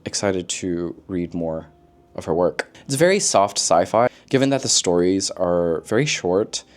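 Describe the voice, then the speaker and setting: A man chatting casually as a book vlogger, expressive and slangy, with playful asides. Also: low-pitched, measured pace. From lives in Korea, origin unstated. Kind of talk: casual chat, book recommendations to camera.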